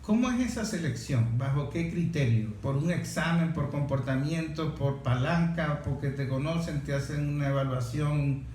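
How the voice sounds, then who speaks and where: Radio interviewer: man, lightly high voice, inquisitive conversational delivery, strongly reverberant room. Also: normal speed, hardly any pauses, darkish tone. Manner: probing, stacks questions. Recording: very echoey room, faint noise.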